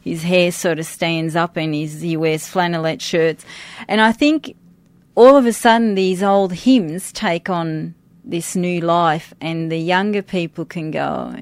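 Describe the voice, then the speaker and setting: A woman's voice: lowish voice, unhurried, dry recording. A middle-aged woman who speaks English as a second language, chatting informally as an interview guest and thinking aloud as she goes. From grew up outside Australia. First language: a language other than English. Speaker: interview guest, church worship team member. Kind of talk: conversational interview.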